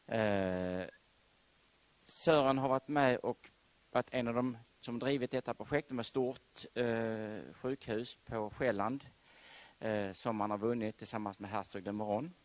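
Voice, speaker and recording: A man introducing a guest speaker at a university lecture, halting with frequent fillers. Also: medium pitch, no room sound. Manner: hesitant, many fillers. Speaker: lecture series host. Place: lecture hall.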